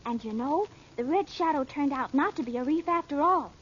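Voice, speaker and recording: A woman's voice: high-pitched, animated, brisk, a bit bright. An actress playing a romantic, easily flustered American teenage girl in an old radio drama, gushing and wistful. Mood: excited. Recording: dull, noisy old radio recording.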